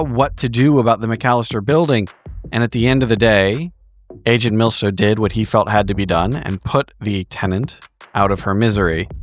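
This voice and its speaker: A man giving a dramatic, improvised story recap as a tabletop roleplaying player, with wry, deliberate phrasing and pauses. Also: mid-range voice, slight background noise.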